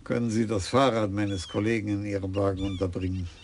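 A man's voice: lowish voice, steady tone, a little fast, slight background noise, natural voice. A man voicing a dainty older male police commissioner who is polite but decisive.

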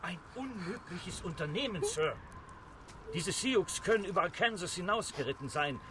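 An actor in a radio drama playing a stiff, dutiful fort captain with clipped military speech. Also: male voice, sits high, animated, normal speed, noisy background.

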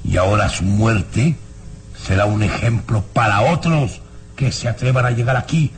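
An actor playing an arrogant, cold baron in an old radio drama, speaking in a haughty, deliberate way. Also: male voice, lowish voice, natural voice. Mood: contemptuous. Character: arrogant, menacing baron of a castle, cold and callous.

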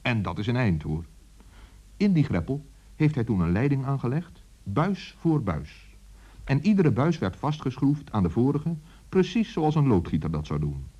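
Male narrator, very animated, low husky voice, mellow, children's storytelling, muffled old recording. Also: normal speed.

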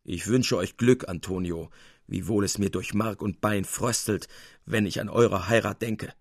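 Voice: sits low, unhurried, darkish tone, slightly rough, no room sound, clean studio sound, exaggerated voice. A man reading the part of a worldly, sceptical friend in an eloquent, emphatic, confiding manner. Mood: teasing, confiding. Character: worldly male painter friend, wry, brooding, sceptical of women.

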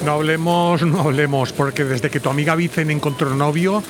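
A man playing a grumbling but soft-hearted middle-aged husband in a comic audio drama, with a Spanish accent from Spain. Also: on the high side, fairly even intonation, a little fast, a crowd in the background, natural voice. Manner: grumbly, colloquial.

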